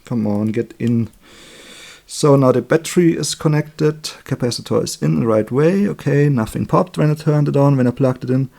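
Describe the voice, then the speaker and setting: A man with a German accent, a native German speaker, giving an informal hands-on electronics tutorial in a chatty, halting way, correcting himself as he works. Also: medium pitch, creaky, mellow. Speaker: electronics hobbyist demonstrating a circuit build. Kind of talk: informal hands-on tutorial, explaining while working.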